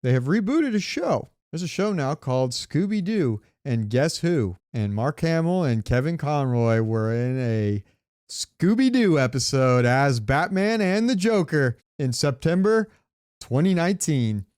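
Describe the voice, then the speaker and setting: A man's voice: on the high side, very animated, measured pace, a little mellow, no room sound, studio quality. A man co-hosting a podcast, chatting informally and with strong opinions about pop culture, swearing now and then. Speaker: podcast co-host. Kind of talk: casual pop-culture chat.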